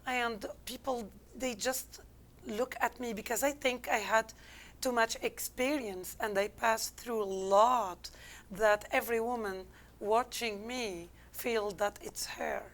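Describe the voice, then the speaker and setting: A woman's voice: fairly high pitch, fairly even intonation, slow pace. Algerian-accented woman telling her story. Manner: candid, reflective, non-native English phrasing. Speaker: interview guest. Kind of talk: personal storytelling in an interview.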